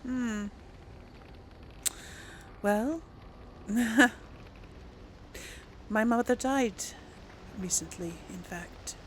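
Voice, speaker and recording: Unhurried, higher-voiced woman gamer. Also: a little hiss or hum. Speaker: gaming video host and role-player. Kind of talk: casual let's play commentary with role-played dialogue.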